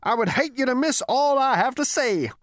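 A crotchety little old ghost, put on by a woman reading a storybook, lectures in a high, scornful voice that swoops up and down. He sounds lofty and pleased with himself. The sound is close and dry. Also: expressive, normal speed, no room sound. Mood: lecturing. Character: crotchety ancient male ghost, small, hunched, scolding. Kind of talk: audiobook character voice.

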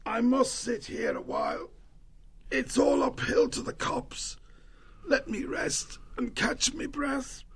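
A wounded shrew leader from an animal storybook, very husky and creaking. The voice sits very high for a man and moves slowly, solemn and commanding. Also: lively intonation, fairly bright tone, heavily acted. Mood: weary, solemn. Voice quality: hoarse, gravelly. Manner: slow, laboured, solemn.

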